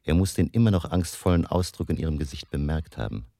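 An actor playing a grave older vampire hunter who narrates a radio drama in a solemn, intense, deliberate, gravelly way. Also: male voice, rumbling low, animated, mellow, no room sound, clean studio sound. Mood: solemn narrating. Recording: faint music behind the voice.

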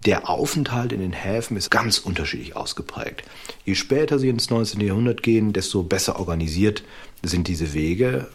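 A man with a very low, husky voice explains as an interviewed expert. He is didactic, stressing points through lists and repetition. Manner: didactic, emphatic repetition and listing.

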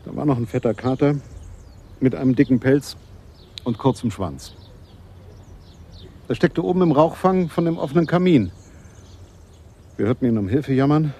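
An actor playing a blunt, excitable police constable who tells things dramatically in a German radio play. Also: male voice, medium pitch, moderate pace, long pauses, husky. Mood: earnest.

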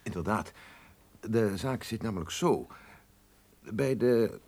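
Husky, awkwardly formal businessman. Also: lowish voice, animated, slow pace, darkish tone, heavily acted. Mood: awkwardly polite. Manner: formal, hesitant, repeating himself. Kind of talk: radio play acting.

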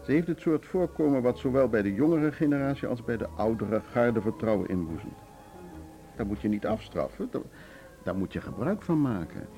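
An actor in a Dutch radio drama playing a diplomatic male board chairman, urbane, measured and persuasive. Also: mid-range voice, animated, warm tone, soft background music, lightly acted. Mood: persuasive, soothing. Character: diplomatic, suave male board chairman smoothing things over.

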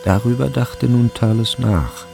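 A man giving measured, deliberate narration in a literary radio drama. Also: medium pitch, vocal fry, over music.

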